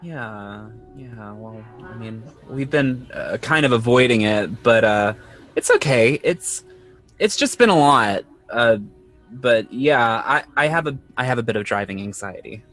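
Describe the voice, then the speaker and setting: Man playing a bright-voiced, anxious, hesitant guy. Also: medium pitch, expressive, soft background music. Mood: guarded. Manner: hesitant, halting, self-conscious. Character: anxious, defensive man confiding about his panic. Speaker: podcast host playing himself in audio drama. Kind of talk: naturalistic conversational audio drama acting.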